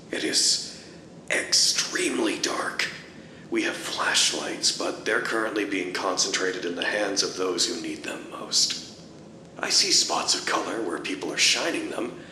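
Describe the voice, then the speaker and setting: An actor playing a measured scientist recording a log in an audio drama, speaking in a deliberate, dry way. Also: male voice, sits low, pushed and bright, a little hiss or hum, heavily acted, poor audio. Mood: hushed, wry. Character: measured male scientist recording logs about an anomaly. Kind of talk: scripted audio drama narration.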